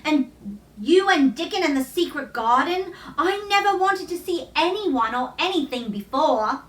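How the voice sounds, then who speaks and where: A woman's voice: sits high, lively intonation, a little slow, fairly bright tone, echoey room, far from the mic. A woman voicing an earnest, shy and slightly regal young boy in a storybook, a little awkward in manner. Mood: eager, shy.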